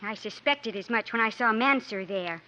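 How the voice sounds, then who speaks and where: A well-bred, anxious young lady in 1950s radio style. Her voice is bright, forward and rather high, with earnest, dramatic phrasing. The recording is old and dull. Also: moderate pace. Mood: guarded, anxious.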